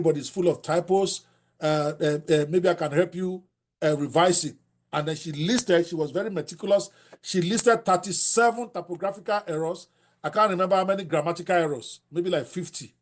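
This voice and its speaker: Blunt older Nigerian man with a Yoruba accent. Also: high voice. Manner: blunt and animated, mixes in Yoruba phrases. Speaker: host. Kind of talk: teaching a writing class.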